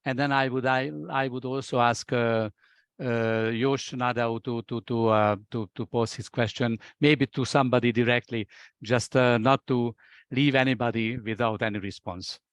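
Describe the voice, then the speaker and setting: A man's voice: medium pitch, fairly even intonation, moderate pace, clean tone, no echo. Hesitant male moderator on a call. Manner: hesitant, many fillers and restarts. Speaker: webinar moderator. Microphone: video call.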